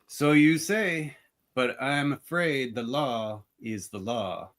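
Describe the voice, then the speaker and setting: A man's voice: mid-range voice, slow pace, a bit bright. Man acting a smug, menacing Old West deputy. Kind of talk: amateur radio play acting.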